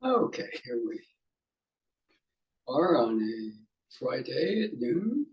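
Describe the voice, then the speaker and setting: A man leading an unscripted guided meditation as a teacher, musing haltingly in short fragments with pauses. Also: medium pitch, sing-song, darkish tone.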